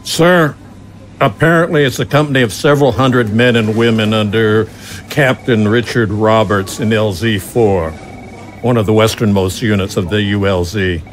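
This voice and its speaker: An actor playing a dutiful general in an audio drama, speaking with measured, deferential military formality to a superior. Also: male voice, lowish voice, slight background noise, natural voice. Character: dutiful male general defending himself to his superior.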